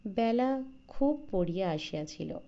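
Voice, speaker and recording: A woman's voice: mid-range voice, expressive, unhurried, vocal fry, mellow, dull audio. A woman reading a literary short story as an audiobook narrator, in a scripted narration style.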